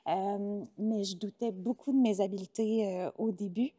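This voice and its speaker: Mellow Québécois woman, hesitant. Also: medium pitch, fairly even intonation, normal speed. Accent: Québécois French. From Quebec, Canada. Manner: hesitant, many filler sounds, warm and talkative. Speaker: interview guest, former teacher turned entrepreneur. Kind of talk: casual interview conversation.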